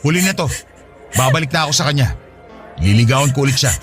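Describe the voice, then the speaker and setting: A man's voice: mid-range voice, lively intonation, fast, soft background music, close to an everyday voice. A man playing an irritable, evasive young boyfriend in a Tagalog radio drama, with a defensive, exasperated manner. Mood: resolute, confessing.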